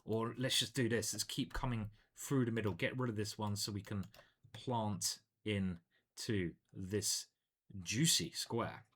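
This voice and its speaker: A man's voice: lowish voice, fairly even intonation, unhurried, fairly bright tone, slightly rough. A man, a chess grandmaster, casually commentating his own online games in a playful, chatty way. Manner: playful and chatty, hums and sings little jingles.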